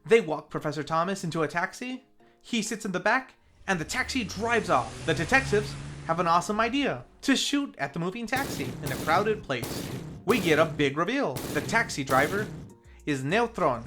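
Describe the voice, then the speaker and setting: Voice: mid-range voice, animated, normal speed, music in the background. A film review host narrating a scripted recap in a casual, playful storytelling manner.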